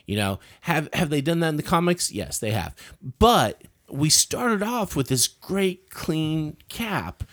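A man discussing a film casually on a podcast panel, halting and argumentative, repeating words for emphasis. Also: a little high, big pitch swings.

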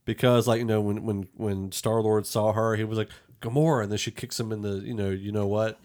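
A man's voice: lowish voice, level tone, brisk, a little mellow. A man hosting a podcast, chatting casually about films and thinking aloud as he goes. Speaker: podcast host. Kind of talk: casual film review chat.

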